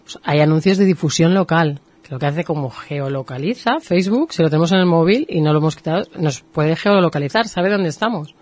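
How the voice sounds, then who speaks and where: A woman's voice: low-pitched, a little fast, hardly any pauses. Woman, Spain Spanish accent, guest expert, explanatory, uses examples. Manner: explains patiently, with lively examples. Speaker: marketing professional guest. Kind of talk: explanatory interview talk.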